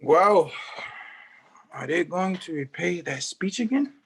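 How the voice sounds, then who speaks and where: A restless, wisecracking boy, read by a man at a table read speaks in a fairly high, breathy voice. Faint music runs underneath. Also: animated, slow, heavily acted. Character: cheeky young boy, restless and joking.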